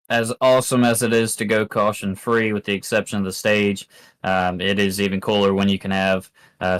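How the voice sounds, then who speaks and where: Low-voiced hesitant race co-commentator. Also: male voice, fairly bright tone. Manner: hesitant, many fillers.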